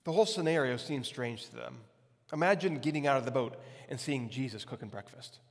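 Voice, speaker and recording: A man in his forties preaching a conversational sermon as a pastor, with emphatic repetition and deliberate pauses. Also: mid-range voice. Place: large hard-walled church hall, on stage. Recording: long hall reverb.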